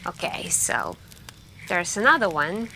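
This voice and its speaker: A soft-spoken woman telling scary stories in an ASMR style, hushed and intimate with pauses and drifts into whispering. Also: lowish voice, gravelly. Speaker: ASMR roleplay performer playing a friend telling campfire stories. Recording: steady background ambience noise. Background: crackling campfire and night ambience.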